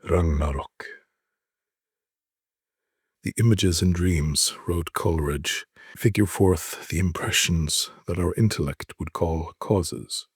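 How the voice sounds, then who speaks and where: Male narrator, low and very husky, solemn and deliberate literary storytelling, dry close sound. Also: dry recording. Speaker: narrator reading short stories. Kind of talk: dramatic literary reading.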